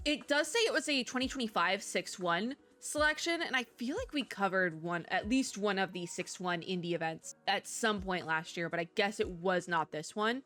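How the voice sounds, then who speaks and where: A woman's voice: fairly high pitch, fairly bright tone. Chatty young woman with a sore throat. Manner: chatty, self-deprecating, restarts and trails off. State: sore throat, losing her voice. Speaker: VTuber reviewing game showcase. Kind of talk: casual commentary.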